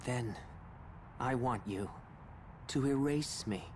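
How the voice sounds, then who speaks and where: A man's voice: mid-range voice, slow pace, a little hiss or hum, natural voice. A man playing a melancholy, defeated video game villain, speaking in a theatrical, flowery, brooding manner. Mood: bitter despair. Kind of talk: dramatic video game voice acting. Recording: loud music behind the voice.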